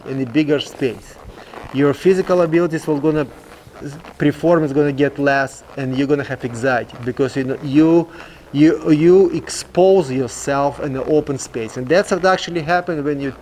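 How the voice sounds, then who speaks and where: A male horse trainer explains his method in halting, English. His voice sits slightly high, and he stresses points by repeating them. There is some background noise around him as he talks. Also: poor audio. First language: not English. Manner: emphatic, repetitive phrasing, halting non-native English. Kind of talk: instructional demonstration, explaining.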